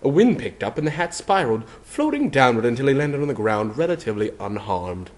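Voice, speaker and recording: A man narrates a story at a medium pitch with wide, animated swings. His delivery is theatrical and mock-serious, like a dramatic reading of fan fiction. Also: a little fast.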